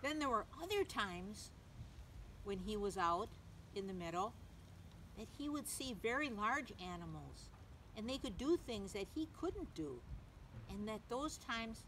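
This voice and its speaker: A woman reading a picture book aloud to young children, gently, in simple phrases, pausing to ask the listener questions. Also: mid-range voice. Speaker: children's story reader, teacher. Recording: clearly noisy background.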